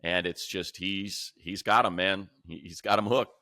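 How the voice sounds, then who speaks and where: A man's voice: low voice, steady tone, a little slow, pushed and bright, no echo, clean studio sound. An American man hosting a sports show, talking through analysis casually and emphatically, repeating points to drive them home. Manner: emphatic, conversational, builds points by repetition. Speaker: sports show host, play-by-play announcer.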